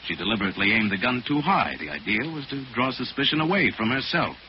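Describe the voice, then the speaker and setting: An actor playing a calm, authoritative crime-fighting detective in 1940s radio style, with measured, formal, explanatory delivery. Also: male voice, mid-range voice, lightly acted. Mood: assured, explaining. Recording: noisy, muffled 1940s radio recording.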